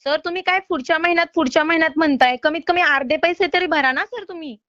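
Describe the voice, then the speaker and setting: A woman's voice: very high voice, quick pace, a bit bright, dry recording. A woman making a loan recovery call in an insistent, polite but exasperated manner. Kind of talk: phone collection call. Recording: slightly dull phone-call audio.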